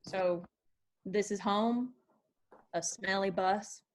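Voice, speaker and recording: A woman's voice: mid-range voice, slow pace, a little hiss or hum, natural voice. A woman reading the part of a bold, blunt young woman in a screenplay table read, asking challenging questions. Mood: probing. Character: Charlie, bold blunt young woman joining country band. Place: remote virtual table read.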